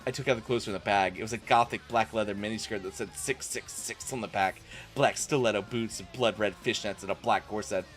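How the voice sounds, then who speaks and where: A man's voice: fairly low pitch, brisk. Exasperated man reading aloud over music. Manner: exasperated, incredulous. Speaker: streamer. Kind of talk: comic dramatic reading with asides. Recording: music playing behind the voice.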